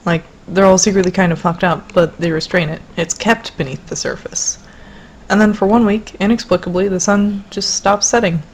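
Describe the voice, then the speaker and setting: A woman playing a jaded, sardonic young woman in a conversational audio drama, speaking bluntly and deadpan. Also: medium pitch, moderate pace, slight background noise, natural voice. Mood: dry, casual. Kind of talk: low-key conversational audio drama acting.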